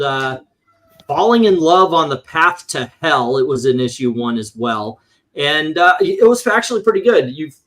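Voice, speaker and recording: A man's voice: medium pitch, fairly bright tone. A man reviewing comic books in casual, opinionated panel chat, rambling and swearing, acting out his exasperated reactions.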